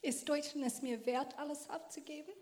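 High-voiced woman, earnest testimony. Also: fairly even intonation, normal speed, a little mellow. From Australia. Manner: earnest and emphatic, in non-native German with loose grammar. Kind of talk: passionate personal testimony talk.

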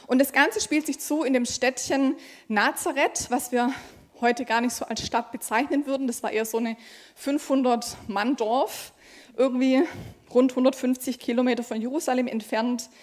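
A woman's voice: high-pitched, fairly even intonation, normal speed. A young woman from Germany, a trainee preacher, reading scripture and then preaching in a conversational way with fillers.

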